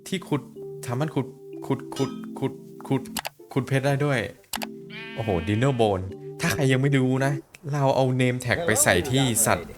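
A young adult man giving casual, excitable reaction commentary on an animation, exclaiming often and speaking in slang. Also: medium pitch, measured pace, over music.

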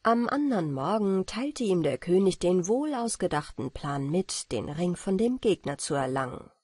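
A woman narrates a bedtime fairy tale for an audiobook. Her husky voice moves expressively up and down, and it is recorded very dry and close. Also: fairly low pitch, very animated, moderate pace, darkish tone, dry recording.

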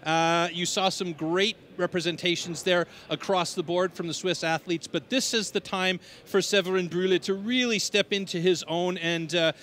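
A man's voice: high-pitched, fairly even intonation, moderate pace, hardly any pauses, fairly bright tone. A man giving conversational live sports commentary, talking at length in an emphatic manner.

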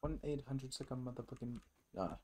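A man's voice: mid-range voice, fairly quick, mellow. A man giving casual, sweary game commentary, muttering to himself as he plays. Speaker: gaming streamer.

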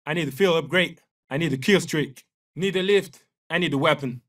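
An actor with a French accent playing a confident young special forces soldier in a military shooter game, giving terse, clipped callouts. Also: male voice, a little high, moderate pace, a bit bright, recorded from a distance, close to an everyday voice. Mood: focused. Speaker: video game operator.

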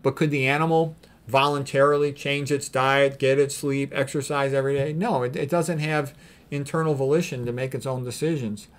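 A man's voice: medium pitch, steady tone, normal speed. Middle-aged male, mid-range voice. Informal medical lecturer, blunt and insistent, hammers points through repetition. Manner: blunt, emphatic, repetitive, checks in with "okay?". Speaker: physician giving a lecture. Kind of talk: informal lecture with commentary on quotes.

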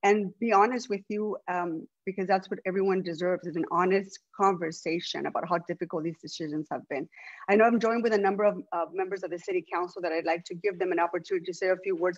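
A woman's voice: lowish voice, dull audio. A woman whose first language is Spanish, from Los Angeles, speaking earnestly as city council president on a video call. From Los Angeles, USA. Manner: earnest, speaks with many restarts. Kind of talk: opening remarks at an online public meeting.

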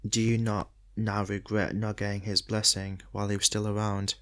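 Male actor as detective, lowish pitch, crisp bright tone, polite, probing, audio drama. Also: little pitch movement, moderate pace, lightly acted. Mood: politely probing.

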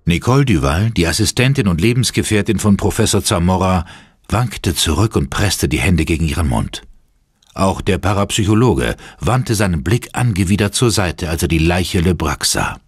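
Low-voiced male horror narrator. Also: animated, moderate pace, breathy edge, dry recording, studio quality. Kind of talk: dramatic scripted horror narration.

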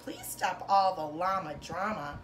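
A woman in her thirties, a teacher reading a children's storybook aloud to pupils with expressive, sing-song phrasing and emphasized words. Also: lowish voice, unhurried, noisy background. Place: bedroom, indoors. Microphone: camera a couple of metres away.

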